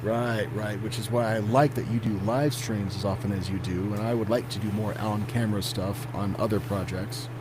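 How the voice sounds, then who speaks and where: A man with a low voice speaks in a laid-back, even tone on a live stream, reading chat comments aloud in between. A clear background hum sits under the recording. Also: normal speed, hardly any pauses. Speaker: horror story narrator.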